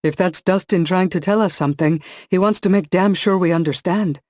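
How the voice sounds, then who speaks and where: A woman's voice: lowish voice, brisk, a little mellow, dry recording, voice pushed up, natural voice. A man voicing a dry-humored female fighter companion in an audiobook. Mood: wry. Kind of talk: audiobook dialogue.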